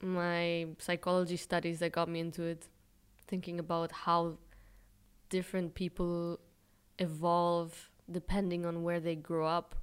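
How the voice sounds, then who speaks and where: A young woman from Israel speaks in a smooth, clear voice that sits a little low and stays fairly level. She answers interview questions thoughtfully and candidly, pausing on fillers and laughing at herself now and then. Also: monotone. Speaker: interviewee, fashion brand founder.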